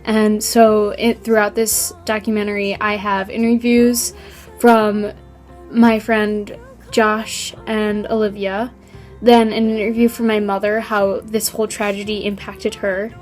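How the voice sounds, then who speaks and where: A woman's voice: mid-range voice, level tone, unhurried, darkish tone, faint music behind. A young woman narrating her own documentary in an informal, light way with some fillers.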